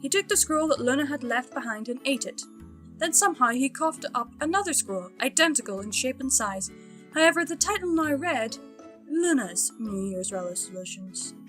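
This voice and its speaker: A woman's voice: high voice, a bit bright. A woman reading a fan fiction story aloud as its narrator, in scripted storytelling style.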